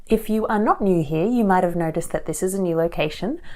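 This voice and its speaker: Woman, 30s, slightly raspy from a cold, lowish voice, chatty rambling vlog talk, small shipping-container room, action camera mic. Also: a little fast, a little mellow. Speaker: aspiring fiction author and channel host. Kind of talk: casual talking-to-camera vlog. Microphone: action camera's built-in mic.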